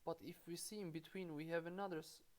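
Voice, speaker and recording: A woman's voice: fairly low pitch, fairly even intonation, fast, a little mellow. A woman from Albania with an Albanian accent, teaching programming in a screen-recorded tutorial and spelling code out step by step. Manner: explains step by step, spelling out code aloud, with small self-corrections.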